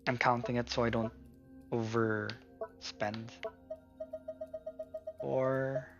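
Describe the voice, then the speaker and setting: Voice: medium pitch, flat delivery, extremely slow, muffled recording. A game streamer giving casual live commentary, muttering and thinking aloud. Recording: game music behind voice. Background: video game music.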